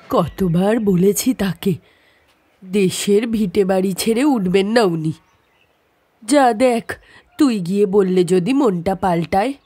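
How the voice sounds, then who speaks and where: A woman playing a worried, weary widowed mother in an audio story, with a husky voice and solemn, cautioning manner. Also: mid-range voice, lively intonation, slow pace, pauses often, dark tone. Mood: weary, resigned.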